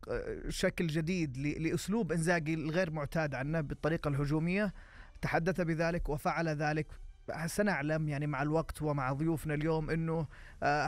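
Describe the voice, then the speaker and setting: A Saudi man co-hosting live football talk and news, often pausing to search for his words. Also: on the high side, dry recording. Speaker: sports radio co-host.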